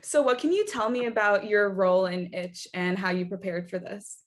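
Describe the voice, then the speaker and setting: A woman's voice: medium pitch, steady tone, moderate pace. A woman hosting an interview show, asking questions in a polite, encouraging way. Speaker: talk show host.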